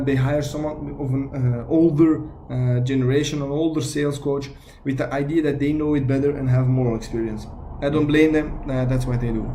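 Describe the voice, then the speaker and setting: A young Belgian man, a native Dutch and French speaker, talks in English with a Belgian Dutch accent and a fairly high, mellow voice. He introduces his channel earnestly, pausing often to restart his sentences. A faint hum of room noise runs underneath. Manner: earnest, hesitant, many fillers and restarts. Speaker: YouTube sales channel host.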